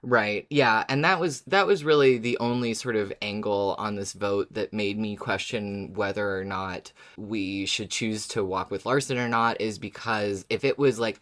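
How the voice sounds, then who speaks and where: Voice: mid-range voice, fairly bright tone. A podcast co-host in casual discussion, agreeable and thoughtful while working through a point.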